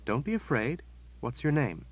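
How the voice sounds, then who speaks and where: Man playing a composed noble lady, firm and controlled, lifted a little higher than his own voice. Dull, dry studio sound. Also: very animated, moderate pace. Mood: gentle. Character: commanding young noble woman, calm, steely.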